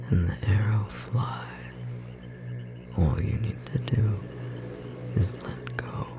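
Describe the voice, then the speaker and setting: A performer voicing a tender magical bookstore clerk in an ASMR roleplay, whispering softly and gently encouraging a beloved partner, with a slightly rough edge. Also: low-pitched, full character voice. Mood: focused, encouraging. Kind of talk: ASMR roleplay, intimate whispered second-person acting.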